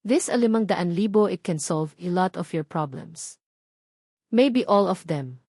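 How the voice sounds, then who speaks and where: A woman's voice: on the low side, expressive, unhurried, a little mellow, breathy edge, dry recording, studio quality, exaggerated voice. A woman voicing a cold, calculating rich young businessman in a romance audio drama, with a clipped, emotionless manner. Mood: blunt, calculating.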